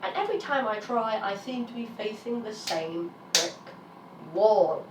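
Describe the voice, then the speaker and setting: A British woman in her thirties, a little raspy from illness, talking frankly and emphatically to her vlog audience. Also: mid-range voice, expressive, unhurried, heavy background noise. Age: 30s. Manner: frank, emphatic, swears when frustrated. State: raspy while getting over an illness. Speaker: vlogger. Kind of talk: candid personal vlog monologue. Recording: roomy sound from a distance, faint ambience.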